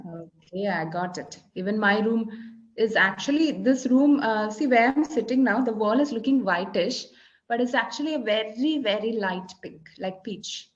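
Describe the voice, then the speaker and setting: Indian english teacher lady, malayalam speaker, patient and slow, kinda muffled call audio. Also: fairly high pitch. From Kerala, India. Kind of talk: casual tutoring chat. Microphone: video call.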